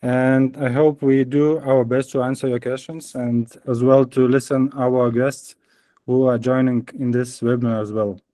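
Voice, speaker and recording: A man from Lithuania with a Lithuanian accent, a company CEO giving brief welcome remarks in a halting way, often restarting his phrases. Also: medium pitch, little pitch movement, mellow, no echo.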